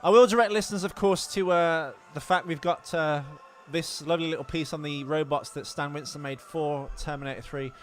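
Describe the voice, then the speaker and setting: A man's voice: fairly high pitch, steady tone, fairly quick, few pauses. A man co-hosting a film podcast in casual chat, with an irreverent, crude style of banter. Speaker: podcast co-host.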